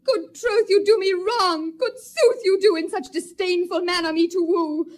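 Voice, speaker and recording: A woman's voice: extremely high pitch, lively intonation, measured pace. A woman playing Helena, a lovelorn young woman pleading in tearful, breathless verse. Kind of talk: stage drama acting.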